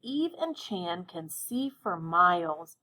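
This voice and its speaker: A woman teaching young children to read, speaking directly and encouragingly to them with deliberate, instructional phrasing and check-in questions. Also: on the low side, big pitch swings, pushed and bright. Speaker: elementary reading teacher.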